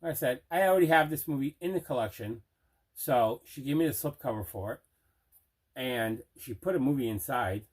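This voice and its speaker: A middle-aged man casually showing items in an unboxing, deliberate and repeating himself. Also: mid-range voice, lively intonation. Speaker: host of a movie collecting show. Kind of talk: casual unboxing show-and-tell.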